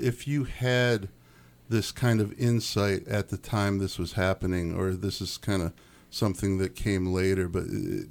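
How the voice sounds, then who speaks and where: Low-voiced male radio host. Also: darkish tone. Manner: halting, searching for words.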